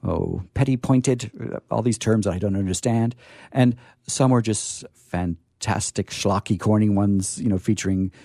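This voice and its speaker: A man's voice: on the low side, measured pace, creaky, mellow, no echo, studio quality. A man hosting an informal live talk, hesitant and repeating his phrases, with playfully formal turns. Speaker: library staffer hosting a discussion.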